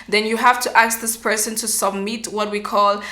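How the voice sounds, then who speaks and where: Young woman, bright crisp voice, mid pitch, explaining patiently, lots of um fillers. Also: level tone, normal speed. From Cameroon. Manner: earnest, explanatory, frequent um fillers and restarts. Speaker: vlogger. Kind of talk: informational vlog advice.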